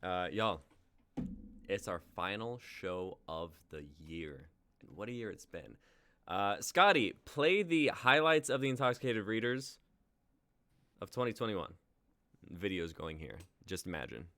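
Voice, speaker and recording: Male, 20s, live-stream host. Bright, crisp-edged delivery in a dry-sounding space, casual and joking. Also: on the low side, sing-song, unhurried, dry recording. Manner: jokey, informal.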